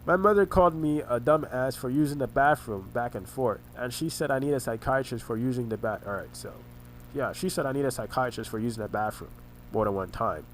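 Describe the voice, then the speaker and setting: A man recounting family arguments in a rambling monologue, halting and often restarting his words, his voice congested and his throat sore. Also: mid-range voice, slight background noise, low-quality recording. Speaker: vlogger recounting family conflicts. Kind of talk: rambling personal monologue over a screen recording.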